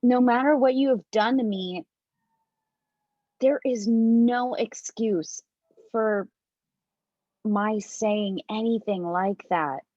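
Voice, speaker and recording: Casting: flustered, wounded romcom heroine with a cold played by a woman, highish voice, halting and unhurried. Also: dark tone. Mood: awkward, regretful. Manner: halting, deliberate, with hesitant restarts. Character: flustered, wounded woman sick with a cold, romantic comedy lead. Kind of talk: amateur script read-through of a romantic comedy. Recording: dull, roomy home recording.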